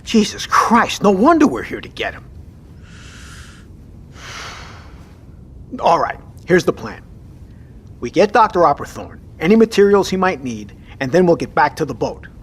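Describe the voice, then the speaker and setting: An actor playing a decisive, gruff navy submarine captain in an audio drama, with commanding, clipped, profane delivery. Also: male voice, fairly high pitch, sing-song, normal speed. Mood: frustrated, resolute. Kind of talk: scripted audio drama acting.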